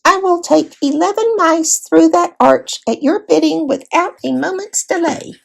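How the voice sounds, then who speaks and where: A woman's voice: extremely high pitch, a little slow, gravelly, pitched above the performer's own voice, full character voice. A woman voicing a small, gallant male talking mouse in a storybook, formal, bold and eager.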